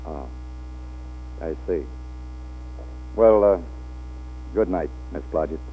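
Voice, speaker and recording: An actor playing a hard-drinking, flirtatious male film star in a 1940s radio drama, halting and repeating his words. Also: low voice. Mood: playful, persuasive. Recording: old 1940s radio, tinny with hum.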